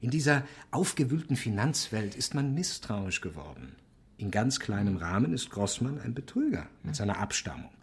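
An actor playing a self-assured, dominant intellectual in a radio drama, explaining insistently in a deliberate, lecturing way. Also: male voice, on the low side, sing-song, breathy edge, exaggerated voice. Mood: lecturing, emphatic. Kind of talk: German radio drama dialogue.

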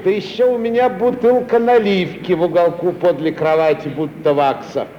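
A man's voice: high voice, fairly even intonation, moderate pace, lightly acted. A man playing a tipsy, cheeky comic actor's sidekick who whines and needles, in an old Russian radio comedy. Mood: wheedling, regretful. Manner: whining, needling, impudent. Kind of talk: 1950s Russian stage-comedy radio acting. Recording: echoey, dull, hissy 1950 radio recording.

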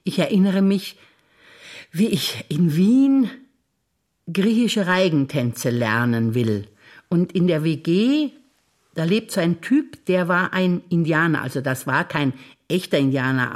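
An older woman, a German stage actress, speaking reflective memories in a measured theatre monologue. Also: low voice, expressive. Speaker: stage actor.

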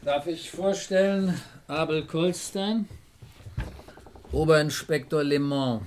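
An actor playing a cheeky, eager junior detective in a German crime radio play, with a jaunty, wry way of reporting. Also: male voice, a little high, slow pace, a little hiss or hum. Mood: jaunty, teasing. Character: cheeky, eager male police detective, the chief's subordinate.

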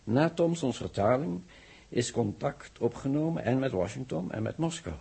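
An actor playing a grave astronomer in a 1960s Dutch radio drama, speaking in a measured, solemn way with dramatic pauses. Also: male voice, medium pitch, expressive, vocal fry, warm tone, slightly rough, full character voice. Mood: solemn, foreboding. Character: grave male astronomer warning of solar catastrophe. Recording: old 1960s radio recording.

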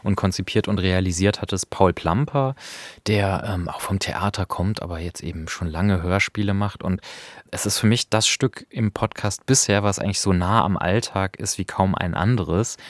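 A man's voice: low-pitched, moderate pace, dry recording. A man talks as co-host of a radio discussion. He explains reflectively, feeling for the right words as he goes. The sound is close and dry, with no room around him. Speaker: podcast co-host. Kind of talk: conversational radio discussion of audio drama. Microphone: close studio microphone.

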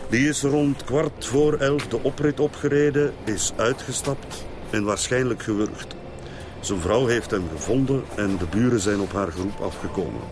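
A man's voice: medium pitch, normal speed, background noise, natural voice. An actor playing a deferential Flemish policeman who reports dutifully to his superiors in a radio crime drama.

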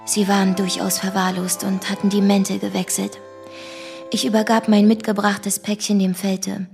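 Actress as composed woman witness in a radio drama, formal measured diction, some music underneath. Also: mid-range voice, level tone, vocal fry, lightly acted. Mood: composed, sober. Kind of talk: radio play narration, scripted. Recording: music behind the voice.

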